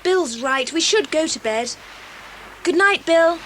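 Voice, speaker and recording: A brisk, sensible young girl in a children's adventure drama. Her voice is high and animated, with clear noise behind it on an old cassette. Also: exaggerated voice. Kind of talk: children's audio drama acting.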